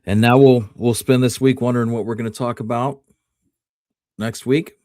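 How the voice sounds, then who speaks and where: A man's voice: medium pitch, steady tone, moderate pace, warm tone, no echo, clean studio sound. A man co-hosting a podcast, chatting casually in a laconic, agreeable way with short remarks. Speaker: podcast co-host. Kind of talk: casual chat.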